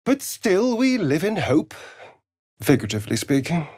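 Snarky vampire rogue for a fantasy game, drawly and dramatic, super expressive, dry close recording. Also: male voice, on the high side, moderate pace, slightly rough, no room sound. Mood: coaxing, sly. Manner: arch, drawling, theatrical. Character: sardonic, theatrical, vain male vampire rogue, silky and wounded. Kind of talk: fantasy video game voice acting.

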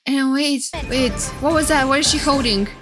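A young woman streamer giving casual, excitable, gushing live reaction commentary. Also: high-pitched, moderate pace. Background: game trailer music.

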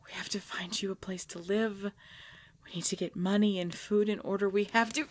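A woman playing a proud, pregnant vampire leader in pain, speaking formally and dramatically in an ASMR roleplay with breathy drops toward a whisper. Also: medium pitch, steady tone, lightly acted. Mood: pained, desperate. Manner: slow, formal, dramatic, often dropping to whispers.

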